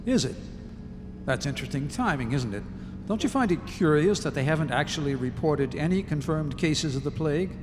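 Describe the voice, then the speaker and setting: A man playing a grave, forceful army colonel in a live audio drama, arguing in deliberate military speech. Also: fairly high pitch, animated, background noise, close to an everyday voice. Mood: guarded, businesslike. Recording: live stage recording in echoey hall.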